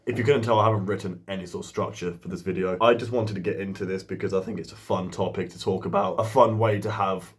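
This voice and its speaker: A man's voice: sits low, fairly even intonation, fast talker, hardly any pauses. Profane young man rambling about drums. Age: 20s. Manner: profane, loose and jokey. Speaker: drummer and video host. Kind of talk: rambling casual talk to camera.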